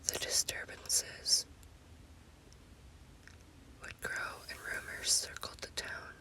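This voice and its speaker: Woman doing whispered asmr scary storytime, all breath no voice, really slow, bright hissy whisper. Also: low-quality recording. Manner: slow, deliberate delivery in short phrases. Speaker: storyteller, host.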